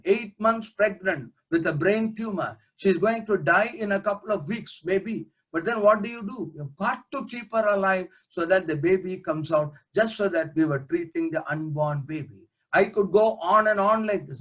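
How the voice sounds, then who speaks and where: An older man, a neurosurgeon, gives an earnest webinar lecture and builds his case in short, listed phrases. His voice sits high for a man, and he presses each point home with emphasis. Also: poor audio. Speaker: neurosurgeon giving a webinar talk. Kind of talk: lecture presenting slides.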